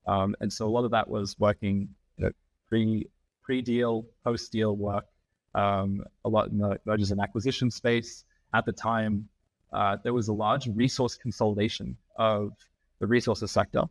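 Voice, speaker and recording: A man in his thirties with an Australian accent, a podcast guest explaining his work in a measured way, with frequent "um" fillers. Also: lowish voice, vocal fry, dry recording. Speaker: podcast guest, founding partner. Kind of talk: conversational interview.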